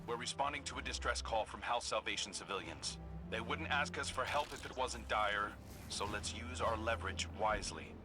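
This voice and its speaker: An actor playing a principled young warrior leader, briefing a soldier over comms in a calm, firm, businesslike way. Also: male voice, lowish voice, normal speed, music in the background, natural voice. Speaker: mission commander on comms. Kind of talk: mission radio briefing. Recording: game audio with clear background noise.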